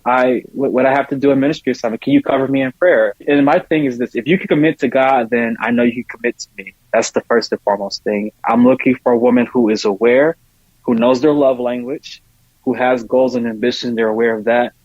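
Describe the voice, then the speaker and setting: A man's voice: mid-range voice, fairly even intonation, brisk, a little mellow, breathy edge, no room sound, lo-fi sound. A man speaking casually and earnestly as a podcast guest, often repeating points for emphasis. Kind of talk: casual conversation.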